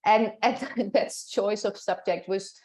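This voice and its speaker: Older Dutch-accented woman academic, measured voice, pauses and restarts while recalling her career. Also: mid-range voice, steady tone, darkish tone. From Netherlands. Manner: thoughtful, many fillers and restarts. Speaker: professor, interview guest. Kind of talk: reflective interview answer.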